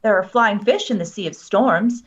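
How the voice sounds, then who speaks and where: A woman's voice: medium pitch, normal speed, close to an everyday voice. A woman playing a blunt, pedantic scholarly sorceress at a table read, on a dull lo-fi microphone. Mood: fussy, contradicting.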